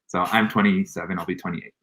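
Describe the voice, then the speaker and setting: A man in his twenties, a guest on an online radio show, explaining a tarot card in a relaxed, lively way and laughing often. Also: deep voice, quick pace. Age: 20s. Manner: animated, laughs often, warm spiritual explanations. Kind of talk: casual online radio chat, tarot reading.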